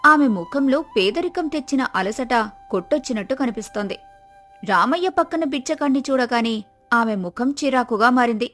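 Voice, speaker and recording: Female storyteller, slightly high pitch, scripted narration, moral tale, soft music behind. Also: animated, normal speed.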